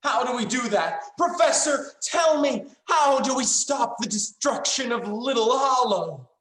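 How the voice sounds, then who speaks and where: An actor playing an earnest, pleading young time traveler in a melodramatic sci-fi screenplay reading, with halting delivery. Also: male voice, very high voice, lively intonation, fairly bright tone, roomy sound, full character voice. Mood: anguished, urgent.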